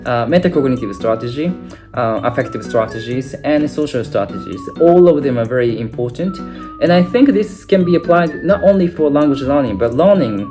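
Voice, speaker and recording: A young man whose first language is Japanese, giving an unscripted video lesson with many fillers and restarts. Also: mid-range voice. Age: young adult. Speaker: language teacher. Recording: guitar music playing behind.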